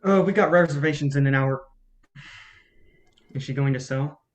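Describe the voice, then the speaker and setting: A man's voice: a little high, moderate pace, lightly acted. A man acting in a staged play reading as a film producer's young assistant, understated and sardonic. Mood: hesitant.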